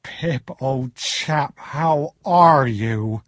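A man voicing a humble, awkward, kindly working-class blacksmith in a storybook, speaking slowly and deferentially. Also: mid-range voice, fairly bright tone, dry recording, studio quality. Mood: warm, admiring. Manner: slow, deferential, halting.

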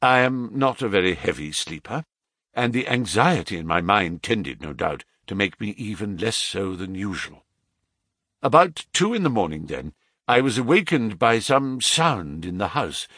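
A man's voice: lowish voice, very animated, normal speed, fairly bright tone, no echo, clean studio sound. A man voicing an anguished middle-aged father who tells his tale in formal, melodramatic Victorian phrases, in an audiobook story. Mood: earnest, anxious.

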